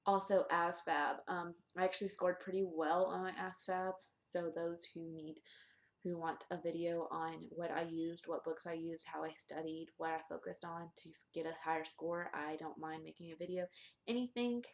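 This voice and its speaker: A woman's voice: fairly low pitch, steady tone, moderate pace, gravelly. A young woman vlogger talking casually and candidly, with many ums and restarts. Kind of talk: casual vlog. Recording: echoey room.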